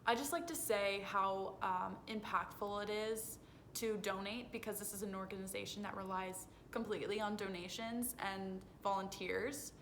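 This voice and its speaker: Female, twenties, mid-range voice. Earnest spokesperson giving prepared interview answers, slight background rumble on phone audio. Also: fairly even intonation, moderate pace, fairly bright tone. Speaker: interviewee, foundation communications director. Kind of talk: rehearsed on-camera interview answers. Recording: phone video with noisy low rumble.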